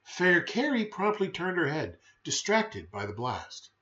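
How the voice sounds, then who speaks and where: A man with a fairly high voice reads a children's storybook with very lively, swooping intonation. His delivery is playful and emphatic, moving between rhyme and chatty asides. The sound is slightly dull. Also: unhurried. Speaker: storyteller reading a children's picture book. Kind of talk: expressive rhyming storybook narration with brief chatty asides.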